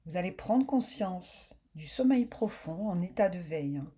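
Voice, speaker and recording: A woman yoga nidra teacher guiding a relaxation in a calm, soothing, unhurried way, with slow spoken instructions. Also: fairly low pitch, breathy edge.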